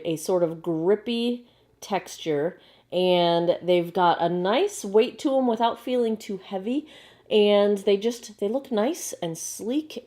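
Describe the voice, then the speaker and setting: Woman, young adult, hoarse croaky voice, chatty vlogger, medium pitch. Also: darkish tone. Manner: chatty, bubbly, quick asides. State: hoarse, frog in throat. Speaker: beauty vlogger. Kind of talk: casual unboxing chat.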